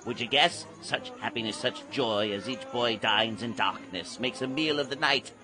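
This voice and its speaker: An actor playing a sly, gleefully ghoulish street peddler in a theatrical radio drama, chanting in a drawn-out sing-song. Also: male voice, mid-range voice, lightly acted. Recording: loud music behind the voice. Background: music.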